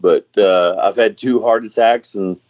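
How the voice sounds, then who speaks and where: A man's voice: on the low side, a little slow, a little mellow, dry recording. A man in his forties with a Southern US accent, hosting a casual wrestling-veteran chat in a folksy, profane way. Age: 40s. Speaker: podcast co-host. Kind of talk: casual chat between old wrestling colleagues. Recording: muffled, band-limited podcast call audio.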